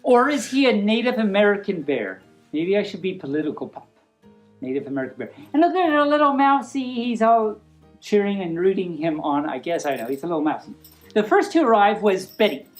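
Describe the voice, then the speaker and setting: A woman's voice: medium pitch, very animated, fairly quick, soft background music. A woman reading a picture book aloud informally, playful and rambling, with easily sidetracked asides.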